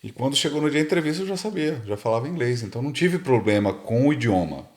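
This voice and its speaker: A man's voice: mid-range voice, animated, fast, a little mellow. A Brazilian man, a podcast guest, telling his story in casual, rambling talk and repeating words as he searches for them. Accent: Brazilian Portuguese.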